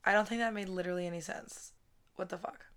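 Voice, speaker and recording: A woman in her twenties hosting her own podcast, talking casually with a raspy voice after a weekend wedding, swearing often and saying "like" constantly. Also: medium pitch, steady tone, quick pace, fairly bright tone. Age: 20s. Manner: chatty, swears a lot, says "like" constantly. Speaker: podcast host. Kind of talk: casual solo podcast chat. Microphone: podcast microphone, close.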